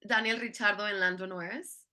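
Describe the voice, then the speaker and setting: A young woman hosts a podcast and asks questions with eager, encouraging enthusiasm. Her voice has a bright, sharp edge at an ordinary pitch. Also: steady tone, a little fast. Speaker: podcast host. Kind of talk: casual interview chat.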